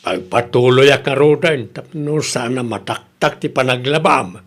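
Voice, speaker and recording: A man's voice: medium pitch, normal speed, a bit bright, close to an everyday voice. A man with an Ilocano Filipino accent playing a nagging, cautious rural husband who questions and admonishes in a radio comedy drama. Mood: concerned.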